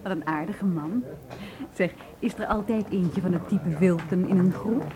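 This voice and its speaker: A woman's voice: fairly low pitch, normal speed, noisy background, lightly acted. An actress playing a sociable, wry female traveller in a radio drama, gossipy and playful. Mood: playful, mocking. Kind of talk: Dutch radio drama acting. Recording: radio play with crowd bustle.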